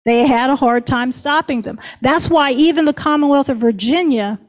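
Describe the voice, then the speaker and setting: A woman's voice: high-pitched, moderate pace. A woman history professor giving an earnest, emphatic storytelling talk on a panel.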